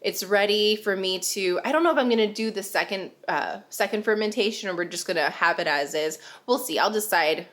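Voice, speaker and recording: A woman's voice: mid-range voice, fairly quick, few pauses, fairly bright tone. A young woman vlogger, chatting casually and rambling, a bit nasal and congested, laughing often. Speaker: vlogger, stay-at-home mom. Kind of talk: casual chat to camera.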